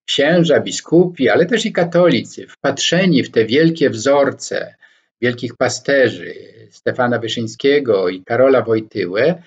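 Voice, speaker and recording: A man in his sixties from Poland, giving a reflective lecture to camera from his home study, pausing often with hesitation sounds as he picks his words. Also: medium pitch, lively intonation, breathy edge. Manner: slow and deliberate, frequent hesitation fillers, gestures with both hands. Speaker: scholar commentator. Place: home study with bookshelves, indoors. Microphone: webcam or laptop microphone, head-and-shoulders framing. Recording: dull lo-fi webcam sound.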